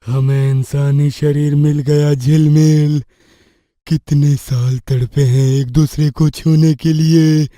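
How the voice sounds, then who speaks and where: An actor playing a young man in a horror audio story, haunted by a ghostly lover, with dramatic drawn-out delivery. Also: a little high, flat delivery, mellow, no echo, studio quality. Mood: yearning. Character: frightened young man later possessed by vengeful ghost lover.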